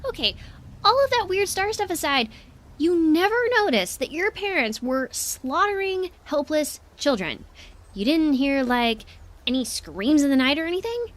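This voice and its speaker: An actress playing an inquisitive, incredulous spaceship crew member in an audio drama, blunt and questioning. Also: female voice, high voice, very animated, moderate pace. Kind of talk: naturalistic audio drama acting.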